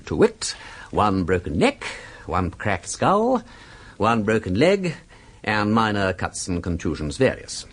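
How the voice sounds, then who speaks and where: An actor playing a suave, breezy aristocratic gentleman sleuth in a radio drama, with an upper-class English accent and a jaunty, mock-formal, theatrical delivery. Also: lowish voice, wildly swooping pitch, slow, full character voice. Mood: breezy, dramatic. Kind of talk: BBC radio drama acting.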